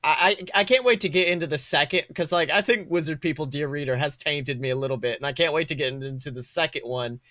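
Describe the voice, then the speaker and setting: A man's voice: on the high side, fast, hardly any pauses, sharp tone, no echo, studio quality. A man co-hosting a podcast, bantering casually in an irreverent, slangy, foul-mouthed way. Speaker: podcast co-host.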